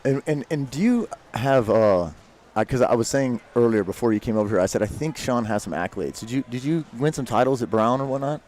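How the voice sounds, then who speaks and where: A man's voice: medium pitch, animated, a little mellow, slight background noise. A man in his late thirties giving chatty, rambling mat-side commentary at a grappling tournament. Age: 30s. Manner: chatty, rambling, quick to laugh.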